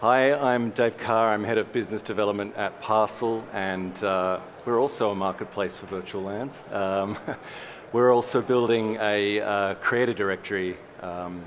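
A man's voice: fairly low pitch, steady tone, moderate pace, a little hiss or hum. A man in his forties speaking as a panelist on a conference stage through a headset mic, candid and wry. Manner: candid, wry, with a casual swear. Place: large conference hall stage.

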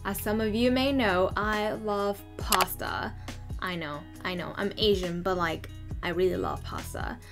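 A woman's voice: mid-range voice, measured pace, over music. A young woman vlogging from her bedroom, chatty and bubbly, gushing as she reacts to clips. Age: young adult. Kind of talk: casual reaction commentary. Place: bedroom, indoors.

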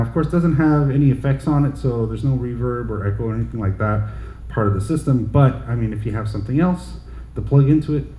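A middle-aged man talks through a gear demo in a loose, friendly sales patter. His voice is mellow, and it rings a bit in the roomy store. A faint hum of noise sits behind him. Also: mid-range voice. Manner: chatty, relaxed salesman patter with fillers. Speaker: store product demonstrator. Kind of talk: casual product demo. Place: large indoor audio gear shop. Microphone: headset and handheld mics, switched. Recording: roomy shop sound, faint background noise.

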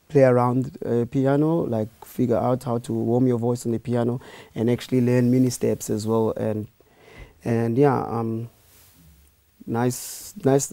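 A man's voice: mid-range voice, gravelly, no room sound. A young man, an aspiring opera singer, telling his story casually in a studio interview. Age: young adult. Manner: relaxed storytelling with fillers.

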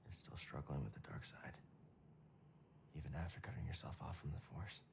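An actor playing a young, guilt-ridden, earnest warrior in a dramatic video game cutscene, with an emotional delivery. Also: male voice, a bass voice, animated, a little fast, creaky, background noise, heavily acted. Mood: subdued. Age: young adult.

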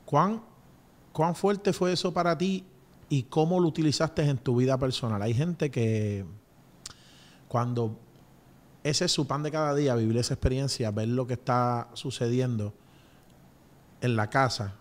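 A middle-aged man with a Puerto Rican accent interviews as a show host, in halting phrases, close to a studio microphone. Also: mid-range voice. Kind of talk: conversational interview. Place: small indoor studio. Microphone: close studio microphone on a boom arm.

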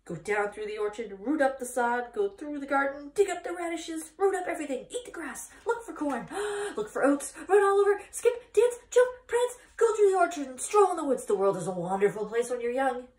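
Lady doing an excited bossy goose from a kids book, high voice, repeats herself, kinda echoey. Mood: exuberant. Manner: repeats phrases, talks fast and insistently.

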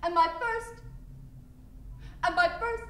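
A woman in a raw spoken-word performance, wailing, laughing and sobbing, lurching between shrieks and low moans, on a live stage. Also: slow, a bit bright. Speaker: performance artist. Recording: roomy sound from far off the mic.

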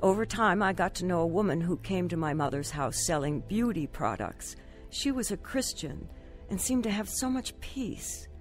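A woman's voice: lowish voice, animated, normal speed, soft background music, close to an everyday voice. An actress playing a troubled, despondent mother in her thirties, narrating and acting in an earnest radio drama style. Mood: somber, reflective.

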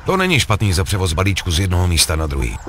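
A cool, ruthless video game gangster, with a very low voice that swings expressively. He pitches schemes in coarse, persuasive slang over faint background ambience. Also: wildly swooping pitch, a bit bright, slight background noise, full character voice. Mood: businesslike, persuasive. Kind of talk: acted video game dialogue.

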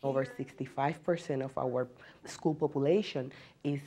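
A woman in her forties with a Puerto Rican accent, a native Spanish speaker and teacher, talking earnestly about herself in a measured way. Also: very deep voice, fairly even intonation, warm tone, husky. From Puerto Rico. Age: 40s. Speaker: bilingual special education teacher. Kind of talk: personal interview testimony.

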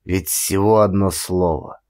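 An old former policeman, acted by a male audiobook performer, spins his stories in a low voice at a very slow pace. He is theatrical and folksy, proud of his past and aggrieved about his fall. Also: fairly even intonation, a little mellow. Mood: wistful, aggrieved. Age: older. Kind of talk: dramatic audiobook acting.

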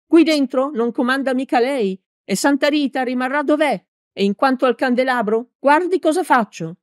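A woman voicing a tiny, proud young male priest in a storybook, shrill, indignant and defiant. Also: normal speed, no echo, studio quality, lightly acted. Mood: shrill defiance.

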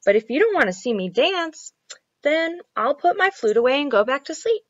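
A woman's voice: high voice, very animated, moderate pace, dry recording, dull audio, pitched above the performer's own voice. A woman voicing a clever female turtle in a children's story, coaxing and reassuring as she talks. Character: clever female turtle, sweet-talking, calm trickster.

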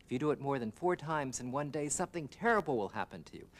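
A man voicing a wise, calm male rabbit who gives a solemn warning. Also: medium pitch, fast, pitched above the performer's own voice, lightly acted.

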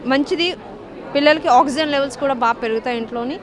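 A woman vendor giving an eager sales pitch for her products into a handheld mic. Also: fast talker. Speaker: stall vendor. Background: crowd chatter.

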